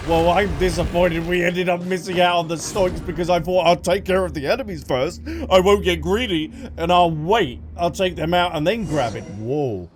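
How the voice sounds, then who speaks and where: Streamer, mid-pitched, casual gameplay commentary, reactive, thinking aloud, light music behind. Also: moderate pace, hardly any pauses. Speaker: video game streamer.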